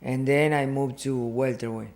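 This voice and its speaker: A man in his thirties from Argentina with an Argentine Spanish accent, a boxer and podcast guest telling his story in halting second-language English full of "you know". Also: mid-range voice, fairly even intonation, a little mellow. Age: 30s. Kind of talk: casual podcast interview. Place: podcast studio.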